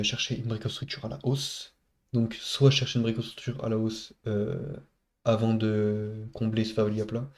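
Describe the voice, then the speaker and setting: A man recaps his trade for viewers in a loose, chatty way, stopping often to search for words. His voice sits low and stays flat, and a head cold leaves him clearly congested. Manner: casual, many hesitations, talks to viewers as buddies. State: stuffy nose from a head cold. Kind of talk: casual screen-recorded trade recap.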